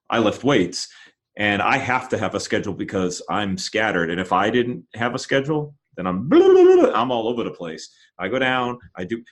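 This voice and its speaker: A man hosting a podcast, chatting casually in a conversational, rambling way with many restarts. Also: fairly low pitch. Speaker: podcast host.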